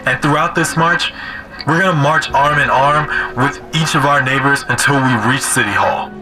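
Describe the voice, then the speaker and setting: A man's voice: mid-range voice, moderate pace, close to an everyday voice, low-quality recording. A man playing an earnest, resolute community leader in an audio drama, speaking emphatically like an orator. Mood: rousing. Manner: oratorical, emphatic, repeating phrases. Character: earnest, resolute young male community leader rallying neighbors. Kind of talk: rousing speech in an audio drama. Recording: music bed under the voice.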